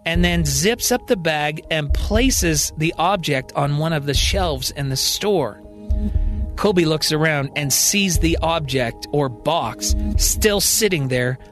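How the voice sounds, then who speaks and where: A man's voice: a little high, fairly bright tone. A man narrating a scripted science-fiction story as a dramatic podcast storyteller. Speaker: storyteller, podcast writer and performer. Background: music.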